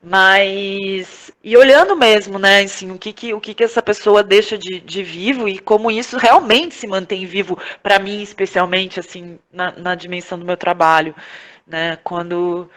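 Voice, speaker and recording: A Brazilian woman giving reflective interview answers, thinking aloud in a halting, searching way with frequent tag questions. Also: medium pitch, a bit bright. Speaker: podcast guest, playwright, actress and director.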